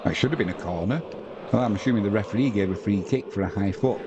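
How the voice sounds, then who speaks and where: A lower-voiced English man calls a football match live, mixing play-by-play with easygoing chat aimed at his viewers. Clear background noise sits under his voice throughout the stream. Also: fast talker, highs cut off. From England. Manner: conversational play-by-play, reading out viewer comments. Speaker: watch-along commentator.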